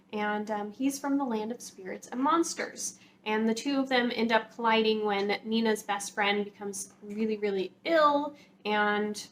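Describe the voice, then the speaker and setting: High voiced girl doing a chatty book vlog, kinda rambly, bit of noise behind her. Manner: informal, hedging, rambling asides. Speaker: book vlogger, channel host.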